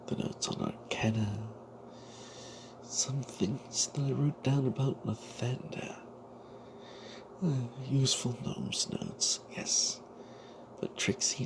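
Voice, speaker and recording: A man narrating a fantasy tale in breathy ASMR whispers, in character as a wry, suspicious adventuring cleric, with a halting, hushed delivery. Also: medium pitch.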